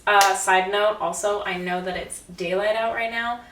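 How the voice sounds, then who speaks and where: A young woman with a stuffy, congested voice chats casually and self-deprecatingly to camera. She sounds set back from the mic in a roomy bathroom. Her pitch sits in a middle range. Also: fairly even intonation, moderate pace, a bit bright. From Canada. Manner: chatty and self-deprecating, laughs at herself. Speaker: beauty vlogger. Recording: roomy, slightly distant audio.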